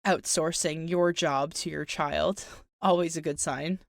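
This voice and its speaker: A woman's voice: low-pitched, fairly even intonation, a little slow, vocal fry, no echo, clean studio sound. A woman hosting a podcast, moving between casual chat and folktale storytelling with light wry asides. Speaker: podcast host.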